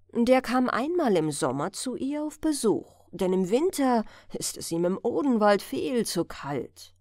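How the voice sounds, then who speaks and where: A woman narrating a scripted fairy tale as a bedtime audiobook, expressive and carefully phrased. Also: medium pitch, dry recording, clean studio sound.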